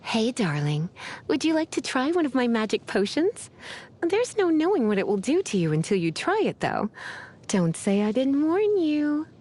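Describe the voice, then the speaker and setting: Teasing witch, lively swooping voice. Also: high voice, moderate pace, exaggerated voice. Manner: flirtatious, drawling, theatrical. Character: teasing, sultry witch librarian, playful and languid. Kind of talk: scripted video game voice lines.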